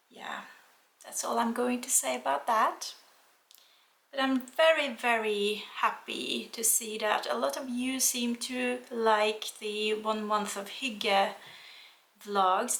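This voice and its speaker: A Norwegian woman speaking English as a second language, talking gently and unhurriedly in a casual knitting vlog. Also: medium pitch, reverberant. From Norway. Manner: soft-spoken, gentle, unhurried with fillers. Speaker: knitting vlogger. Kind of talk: casual chat to camera.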